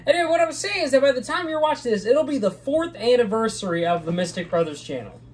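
A loud, excitable young man co-hosting a casual channel update in a small green-screen room, laughing often. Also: extremely high pitch, fast. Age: young adult. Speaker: channel co-host. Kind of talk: casual chat.

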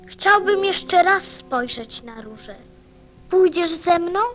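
A woman playing an innocent, earnest little boy prince in an audio play, gentle and thoughtful. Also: extremely high pitch, expressive, takes it slowly, full character voice.

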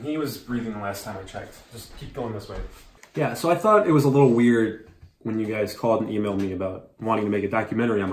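A young man playing a bumbling, awkward league manager in a mockumentary, rambling with deadpan asides while giving a house tour. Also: mid-range voice, natural voice. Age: young adult. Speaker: mockumentary lead. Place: family house rooms and garage, indoors. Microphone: handheld camera a few feet off. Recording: roomy home echo, faint hiss.

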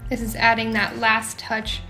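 A young woman with a slightly high voice walks through a recipe in a relaxed, chatty way, adding small asides as she goes. She sounds like she's recording in her own home kitchen, with soft music playing underneath. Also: a little slow. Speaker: home cooking show host. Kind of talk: casual cooking voice-over.

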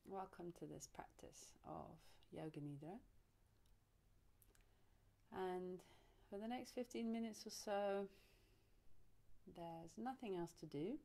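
A woman leading a guided relaxation meditation, soothing and unhurried, speaking in short phrases with long pauses. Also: medium pitch, vocal fry, warm tone. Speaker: yoga nidra teacher, meditation guide.

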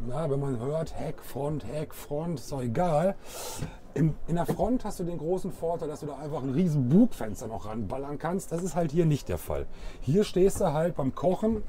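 Chatty middle-aged man with a mellow, higher voice. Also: slight background noise. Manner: chatty, colloquial, addresses viewer directly. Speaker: vlog host presenting caravans. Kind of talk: casual walk-through product presentation. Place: caravan trade fair hall and inside a caravan. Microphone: wireless clip-on microphone.

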